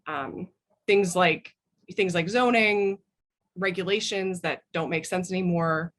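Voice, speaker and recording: A woman's voice: lowish voice, a little slow, fairly bright tone. An American woman speaking as an interview guest, giving thoughtful answers in an earnest, opinionated way. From United States. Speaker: guest, author.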